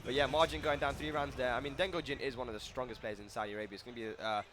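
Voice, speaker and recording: A man commentating a fighting game tournament, excitable and joking. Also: mid-range voice, very fast, noisy background. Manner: excitable, laughs often, jokes around. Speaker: esports commentator. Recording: commentary over loud game music.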